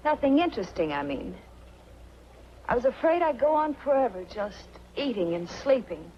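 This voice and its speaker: A woman's voice: a little high, sing-song, normal speed. An American actress playing a guarded, wistful young woman with a secret past in a 1940s film noir. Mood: wistful, confiding. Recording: muffled, noisy 1940s film soundtrack.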